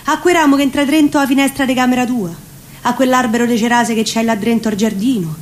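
A woman with a Roman accent reciting a theatrical spoken-word monologue, deliberately, phrase by phrase. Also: fairly high pitch, fairly even intonation. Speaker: reciting performer. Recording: faint ambient background noise.

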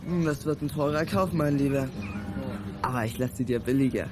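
A man playing a sly schoolboy dealer in a youth radio play, with smooth-talking sales patter. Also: medium pitch, a little fast, noisy background, lightly acted. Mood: slick. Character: Dreier P, sly, slick schoolboy stamp dealer. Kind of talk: 1980s German youth radio play acting. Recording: loud music and ambience behind dialogue. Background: loud music.

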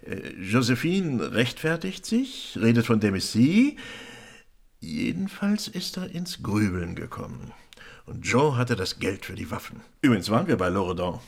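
A police commissioner in a radio crime play, with a gravelly edge to his voice. His delivery swings widely in pitch as he explains things in animated, colloquial talk. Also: normal speed. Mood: brisk, animated. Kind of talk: radio crime drama dialogue.

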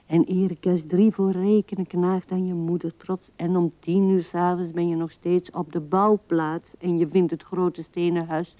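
An actress playing an elderly, pensive widow murmuring a monologue in a radio drama, often slipping into whispers. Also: female voice, fairly low pitch, flat delivery, moderate pace, hardly any pauses, no room sound, lightly acted. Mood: grumbling, resigned. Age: older. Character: pensive seventy-year-old widow musing at her husband's grave. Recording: dull vintage radio recording.

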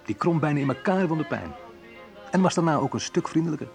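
An actor playing a reflective man in a naturalistic radio drama, talking in a conversational, hesitant, wry way with a husky voice. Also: a little high, lively intonation, fast, soft background music. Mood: wry, nostalgic. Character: Menno, reflective man recalling a school friend. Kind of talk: naturalistic radio drama monologue and phone talk.

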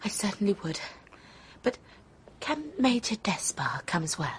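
A woman's voice: mid-range voice, very animated, a little slow, a little hiss or hum. An actress playing a frank, anxious young British woman in a radio drama. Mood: eager. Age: young adult.

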